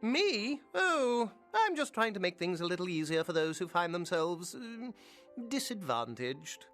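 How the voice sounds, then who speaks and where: A man's voice: sits high, big pitch swings, measured pace, a bit bright, soft background music, full character voice. An actor playing a fussy, politely obsessive and creepy male shop owner in a video game, with genteel, fluttery, hesitant speech. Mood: eager, creepy. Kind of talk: video game character acting.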